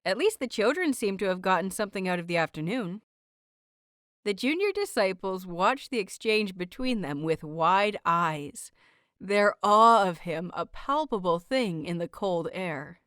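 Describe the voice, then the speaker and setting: A woman hosting and narrating a fiction audiobook in an expressive, carefully enunciated storytelling style. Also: medium pitch, a little slow, no room sound, clean studio sound. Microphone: close microphone, dry recording.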